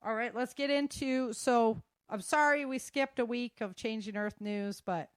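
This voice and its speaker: A woman's voice: fairly high pitch, measured pace, clean tone, no room sound. Casual, slangy woman hosting, dry sound. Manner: informal, slangy. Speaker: host of a survival news show. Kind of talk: casual news rundown with chatty asides.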